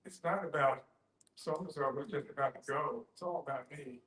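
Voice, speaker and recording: Man, fairly high voice, echoey room, reflective group discussion, halting, thinking aloud, frequent restarts. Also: a bit bright.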